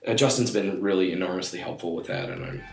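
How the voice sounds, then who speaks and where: A man speaking in a halting, thoughtful way about an idea. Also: sits low, gravelly, faint music behind. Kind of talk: reflective talk. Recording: echoey room.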